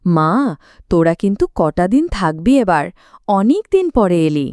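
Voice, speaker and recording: A woman's voice: lowish voice, sing-song, normal speed, a little mellow, clean tone, dry recording, studio quality. A woman voicing a caring, fretful middle-aged village mother in a cartoon folk tale. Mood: motherly concern. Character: aging village mother, caring, fretful about money and family.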